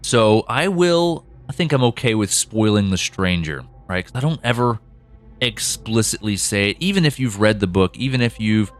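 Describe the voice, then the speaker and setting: A man's voice: lowish voice, lively intonation. A man co-hosting a casual behind-the-scenes podcast chat, talking loosely and laughing often. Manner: chatty, laughs often, acts out quick voices for characters. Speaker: podcast co-host and show creator.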